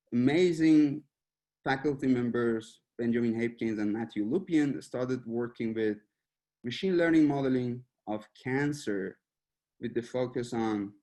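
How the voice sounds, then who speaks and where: Iranian-born man giving a relaxed webinar talk. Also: mid-range voice. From Iran. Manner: relaxed, searching for words, with small laughs. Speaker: machine learning researcher giving a webinar.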